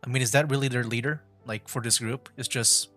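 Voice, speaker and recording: A man's voice: medium pitch, fairly even intonation, a little fast, pushed and bright. A man giving casual live commentary as he plays a video game, rambling and thinking aloud. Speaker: livestreamer playing a video game. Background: game music.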